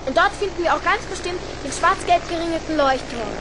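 A woman's voice: very high voice, a little fast, noisy background. A woman playing an eager, curious young boy inventor with a childlike, earnest manner in a children's radio play. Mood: eager, clever. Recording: loud vehicle drone behind.

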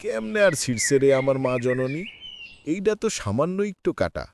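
A man with a rural Bangladeshi accent playing a poor, ageing labourer and doting father in a cartoon, folksy and expressive. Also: mid-range voice, normal speed, creaky. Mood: tender. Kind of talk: cartoon voice acting. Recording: faint background noise with birdsong.

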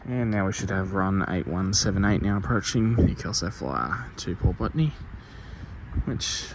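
A man vlogging casually from an outdoor railway platform, calling out trains with relaxed ums and uhs. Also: low voice, normal speed, vocal fry. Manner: relaxed, with frequent um and uh. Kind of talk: casual narration to camera. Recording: outdoor phone audio with loud ambient noise. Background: station ambience and passing trains.

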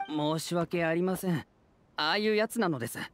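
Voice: mid-range voice, normal speed, slight background noise, lightly acted. An actor voicing a humble, anxious citizen of a fairytale town in a Japanese video game, speaking formally and earnestly. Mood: humble, apologetic. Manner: formal, deferential, earnest speech.

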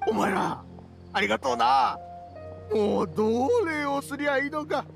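An actor playing a rough-spoken, hearty and grateful merchant with a gravelly voice in a Japanese anime game. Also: male voice, extremely high pitch, measured pace, soft background music, full character voice. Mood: grateful, surprised.

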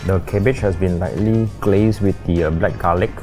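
A man in his forties, a chef, calmly describing dishes over dinner in a restaurant. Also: low voice, music in the background. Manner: measured, descriptive tasting comments. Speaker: chef guest. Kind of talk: casual dinner conversation. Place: upscale restaurant dining room.

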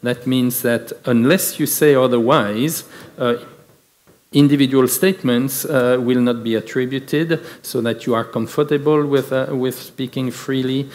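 A man's voice: medium pitch, steady tone, measured pace, a little mellow. A man moderating a conference panel, speaking with many fillers and restarts. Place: large conference hall with audience. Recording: reverberant conference hall.

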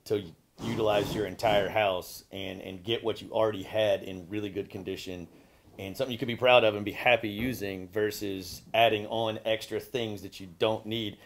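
A man in his forties, a building contractor, explaining a renovation in a relaxed, slightly halting way, repeating words and chuckling now and then, filmed by a camera a few steps away in a bare-framed basement. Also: on the low side, vocal fry, a little hiss or hum. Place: gutted basement under renovation, indoors. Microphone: far camera.

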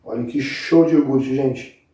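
A man's voice: medium pitch, steady tone, measured pace, mellow. Echoey Brazilian man giving cooking instructions. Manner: informal, instructive, addressing viewers directly. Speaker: cooking show host. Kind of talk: casual step-by-step recipe demonstration. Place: indoor kitchen set with brick wall. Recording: very echoey room, distant microphone.

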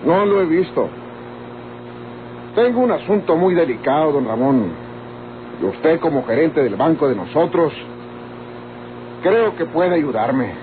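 On a tinny, noisy old radio drama recording, a proud young Mexican rancher. His high-set voice is hostile and resentful. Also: sing-song, unhurried, long pauses. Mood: grave, controlled.

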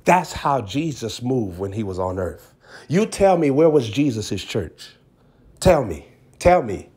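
A man's voice: medium pitch, very animated, unhurried, darkish tone, slightly rough. A man preaching as a self-styled prophet in an impassioned exhortation, emphatic and repeating short phrases for effect.

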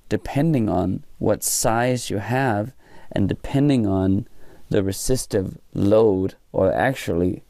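A man giving an informal hands-on technical explanation in a halting way, often pausing mid-sentence. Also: mid-range voice, creaky, warm tone, no echo, studio quality. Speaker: presenter of a hobby electronics tutorial.

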